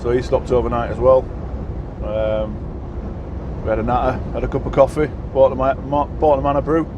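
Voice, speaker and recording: Husky trucker chatting over road noise. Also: male voice, medium pitch, flat delivery, normal speed, highs cut off. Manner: chatty, rambling, frequent "uh" fillers. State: husky, croaky. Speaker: truck driver vlogger. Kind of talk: casual vlog chat while driving.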